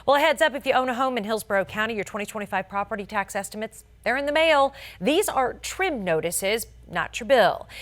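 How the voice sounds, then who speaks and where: Polished American woman reading local news. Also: medium pitch. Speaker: television news anchor. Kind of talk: scripted local TV newscast.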